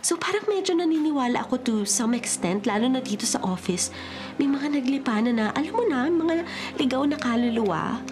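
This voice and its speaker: A woman's voice: high voice, lively intonation, brisk, over music. A Filipino woman playing a friendly, gossipy office co-worker in a radio drama, mixing English slang into breezy chat. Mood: chatty, curious. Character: chatty, friendly female office co-worker who senses spirits.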